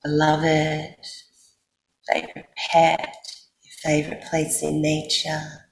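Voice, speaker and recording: Very slow, low-voiced Australian woman guiding meditation. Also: monotone, gravelly. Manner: soothing, unhurried, with long pauses. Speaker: yoga nidra guide. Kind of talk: guided meditation for sleep. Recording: roomy echo, faint background noise.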